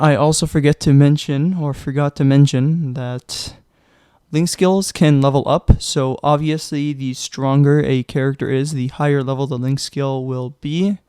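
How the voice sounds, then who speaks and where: A man's voice: medium pitch, darkish tone, clean tone, no echo. A young man narrating a casual game tutorial, chatty and joking, with loose fillers, a little sick. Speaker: gaming guide creator.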